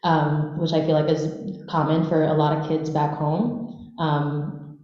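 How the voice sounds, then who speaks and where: A woman's voice: deep voice, little pitch movement, measured pace. A woman in her twenties from the Philippines, a native Bisaya speaker, telling her story casually and informally on a panel. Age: 20s. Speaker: panelist, dancer. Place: large echoey room at a live panel event. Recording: very echoey hall, slightly muffled.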